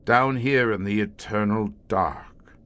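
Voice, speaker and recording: A man's voice: fairly low pitch, fairly bright tone, clean tone. An actor playing a haunted older police inspector in a radio horror drama, brooding and theatrical in his delivery. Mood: bleak, resigned. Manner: slow, brooding, grandiose and theatrical. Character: haunted, doomed older male tunnel police inspector, slowly turning monstrous.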